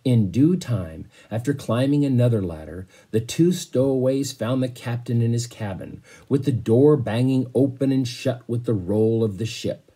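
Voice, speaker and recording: Husky, mellow middle-aged man reading aloud. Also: medium pitch, normal speed, hardly any pauses. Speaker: teacher reading to a class. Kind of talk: read-aloud storytelling with casual asides. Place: small bedroom, indoors.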